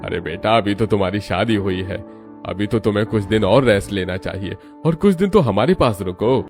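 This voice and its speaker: A man plays a kindly middle-aged father in a cartoon family drama. His voice is low, with faint music underneath. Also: expressive, normal speed, a little mellow, natural voice. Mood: fatherly concern. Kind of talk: Hindi cartoon story voice acting.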